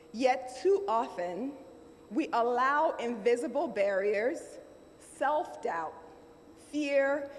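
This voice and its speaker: A middle-aged woman with a Nigerian accent addresses a conference crowd in a fairly high, emphatic voice, pausing for effect. Her speech carries the long reverb of a large hall, with faint room noise underneath. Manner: measured, emphatic, with dramatic pauses. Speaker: keynote speaker.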